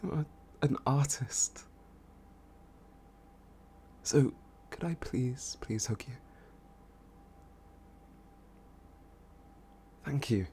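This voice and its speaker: A man playing an obsessed, adoring stalker in a boyfriend ASMR roleplay, with a breathy, husky voice and a halting, pleading, worshipful manner. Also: mid-range voice, mellow, a little hiss or hum. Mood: hushed adoration. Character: obsessed, adoring young stalker smitten with a killer.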